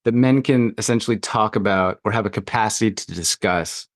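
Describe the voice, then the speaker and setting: A man's voice: lowish voice, a little fast, dry recording, studio quality. A man interviewing a guest in a reflective, conversational style, with thoughtful and articulate phrasing. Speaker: podcast interviewer.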